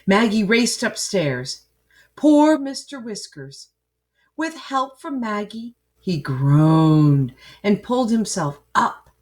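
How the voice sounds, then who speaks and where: A woman reading a children's storybook aloud in an expressive, dramatic way, with asides to young listeners. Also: mid-range voice, slow. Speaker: picture book reader.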